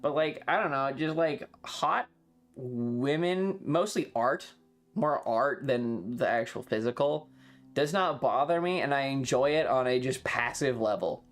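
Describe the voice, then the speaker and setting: A man chatting casually on a livestream, rambling and halting, swearing freely as he talks. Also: medium pitch, animated, faint music behind. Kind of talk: casual rambling stream chat.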